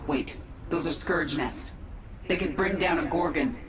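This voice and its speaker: A woman's voice: deep voice, unhurried, noisy background. An actress playing a ruthless, commanding alien queen in a sci-fi game, with an imperious, menacing manner. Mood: cold, determined. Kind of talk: scripted sci-fi game voice acting. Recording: echoey, distant, music underneath.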